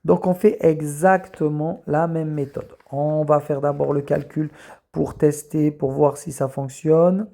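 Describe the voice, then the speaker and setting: Gravelly, mellow-voiced math teacher talking through a worked exercise. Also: mid-range voice, steady tone. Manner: explains step by step with many fillers; slows down to write while talking. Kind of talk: recorded lesson correction. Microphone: camera filming a written page.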